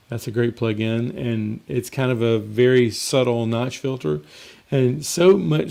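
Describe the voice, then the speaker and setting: A man hosting a casual live Q&A as a mixing coach, slightly hoarse and rambling in a conversational way. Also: mid-range voice, dark tone. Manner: rambling and conversational, with frequent fillers and restarts. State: slightly hoarse, losing his voice. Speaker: mixing coach and show host.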